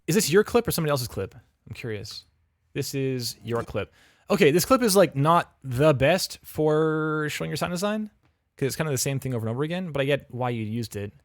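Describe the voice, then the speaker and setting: A man's voice: on the high side, animated, a little fast, no echo, clean studio sound. Chatty man giving frank livestream feedback. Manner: chatty, frank, full of restarts and fillers. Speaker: livestream host reviewing game audio reels.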